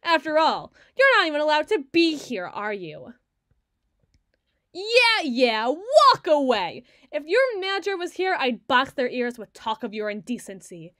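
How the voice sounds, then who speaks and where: High-voiced, sardonic female secret agent played by a woman. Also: sing-song, a little slow, fairly bright tone, no echo, studio quality, full character voice. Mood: brash, mock outraged. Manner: wry, wordy, teasing, flustered when challenged. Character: sardonic, fast-thinking female secret agent, bossy boss to scientists. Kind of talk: ASMR roleplay audio drama.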